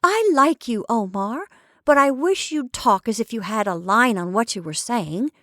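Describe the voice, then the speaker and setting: A woman's voice: fairly high pitch, expressive, normal speed, a bit bright, no room sound, clean studio sound, pitched above the performer's own voice. A woman playing a flippant, teasing showgirl. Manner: breezy, teasing, mock-dramatic. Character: flippant teasing young chorus girl.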